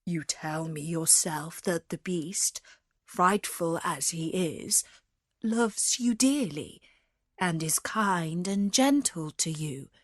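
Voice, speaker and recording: Woman putting on a low, grave, kindly father. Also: dry recording, studio quality. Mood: thoughtful, advising. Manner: measured, deliberate, grave. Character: Beauty's thoughtful, kindly older father.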